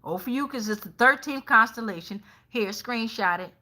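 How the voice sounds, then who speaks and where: A woman's voice: on the high side, moderate pace, sharp tone. A middle-aged woman with an American accent doing a casual live tarot reading in a sassy, emphatic way, swearing freely. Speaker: tarot reader hosting a live stream.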